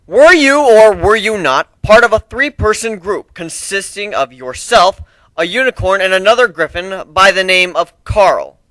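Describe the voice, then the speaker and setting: A stern griffin prosecutor, played by a man in a fantasy trial story. The delivery is formal, commanding and pointed, with lively swings in pitch and a bright, forceful edge. It is recorded dry and close. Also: measured pace, no echo, poor audio. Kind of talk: dramatic fan fiction reading.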